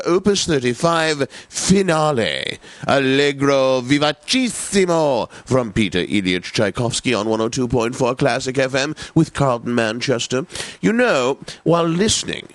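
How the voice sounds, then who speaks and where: An actor playing a pompous, genteel classical radio DJ in a video game, announcing in a precise and theatrically obliging manner. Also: male voice, mid-range voice, no room sound, natural voice. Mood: wry, chatty. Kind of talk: scripted radio continuity announcing.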